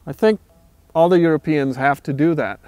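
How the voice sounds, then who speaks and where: Man, smooth and slightly high. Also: darkish tone, no echo. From Austria. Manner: thoughtful, measured, with frequent "uh" pauses. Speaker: guitar builder. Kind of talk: documentary interview, reflective storytelling.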